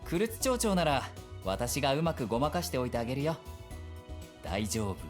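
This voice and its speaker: Man playing a very fast-talking, genial young policeman. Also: mid-range voice, very animated, soft background music, natural voice. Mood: friendly, sly. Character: genial, sly young male island police officer. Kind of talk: visual novel game acting.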